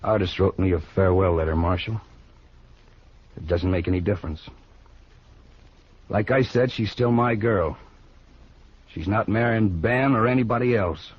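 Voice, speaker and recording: Returning gunslinging cowboy: husky, low, defiant and quietly dangerous, vintage radio drama. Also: male voice, normal speed, long pauses. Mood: quietly threatening. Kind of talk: 1950s radio western acting. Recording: muffled old radio recording.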